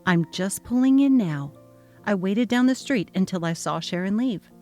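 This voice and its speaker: A woman's voice: medium pitch, lively intonation, normal speed, a little mellow, soft background music. A woman narrating a story in text-message form, voicing the young mother with indignant expression. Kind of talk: dramatic reading of text messages.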